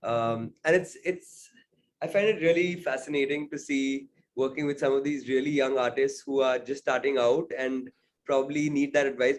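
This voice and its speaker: A young man from India talks through his choices in an interview, and his voice sits at a middle pitch. He pauses with "uh" and "um" and keeps checking in with "right?". The delivery is reflective and unhurried. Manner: thoughtful, many fillers and "right?" tags. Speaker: singer-songwriter guest.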